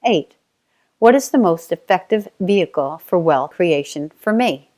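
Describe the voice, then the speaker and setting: A middle-aged Australian woman, whose first language was sign language, reading her own life story aloud in a measured, steady way. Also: fairly low pitch. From Australia (Tasmania). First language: Auslan (Australian sign language). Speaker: self-help author.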